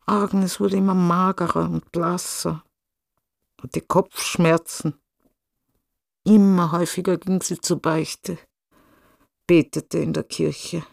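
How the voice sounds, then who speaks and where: An actor playing a sober, detached storyteller with a creaky voice, narrating a scripted radio drama. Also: male voice, high voice, flat delivery, takes it slowly, pauses often, heavily acted.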